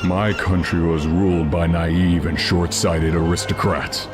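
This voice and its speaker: Man as a deep-voiced stern captain. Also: flat delivery, a little slow. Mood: grave. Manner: earnest, formal. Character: stern, indignant human army captain. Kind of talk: fantasy game cutscene acting. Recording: music under the voice.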